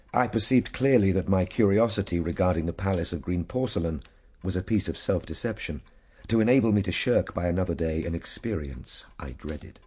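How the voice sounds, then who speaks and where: An actor playing a grave Victorian time traveller, narrating his ordeal in a measured, formal radio drama style. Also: low voice, warm tone, slightly rough. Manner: measured, formal literary delivery.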